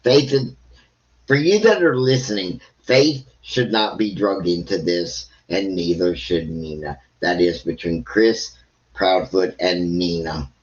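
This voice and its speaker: A man talks slowly and bluntly over muffled stream audio. He is scornful and swears freely, commenting like someone reacting live online. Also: low voice.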